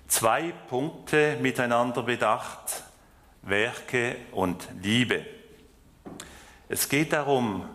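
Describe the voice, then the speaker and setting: Slow-speaking man preaching with a Swiss German accent. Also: mid-range voice, fairly bright tone. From France. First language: French. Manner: slow, hesitant, with fillers and restarts. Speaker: lay preacher. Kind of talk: sermon.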